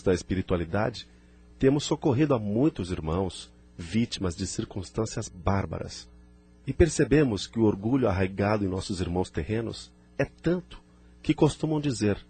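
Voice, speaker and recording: A man's voice: fairly low pitch, a little mellow, slightly rough. A man with a Brazilian accent playing an earnest, formal spirit helper in an audio drama. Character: earnest male spirit helper, easily astonished. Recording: music bed behind the voice.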